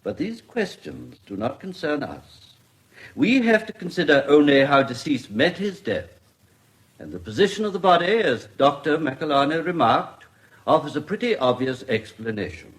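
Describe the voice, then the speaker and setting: Formal British coroner, animated pitch. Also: male voice, poor audio. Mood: summing up. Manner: measured, official, formal diction. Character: formal, courteous English coroner presiding over an inquest. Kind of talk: radio drama acting.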